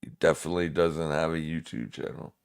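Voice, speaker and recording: A man's voice: a bass voice, little pitch movement, brisk, smooth. A man with a smoker's voice giving casual, laid-back live market commentary to viewers as a streaming trading host.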